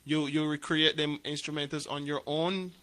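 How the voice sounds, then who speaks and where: Radio host from St Kitts and Nevis, bright forceful tone, halting and chatty. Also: male voice, fairly high pitch, fairly even intonation. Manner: halting questions full of "um", frequent "yeah, man". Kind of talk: radio interview, casual.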